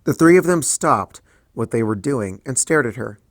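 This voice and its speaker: A man narrating a fan fiction story in a plain, even storytelling delivery on a close home mic. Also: medium pitch, normal speed, no room sound. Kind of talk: fanfic audiobook reading.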